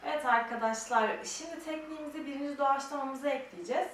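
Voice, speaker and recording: A young woman walks her students through a mime technique, explaining each move and counting them out as she demonstrates. Her voice sits fairly high. She is caught from a distance in a strongly echoing room with a faint hiss underneath. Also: fast talker. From Turkey. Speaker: mime teacher. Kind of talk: step-by-step instruction. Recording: very echoey room, distant mic, faint noise.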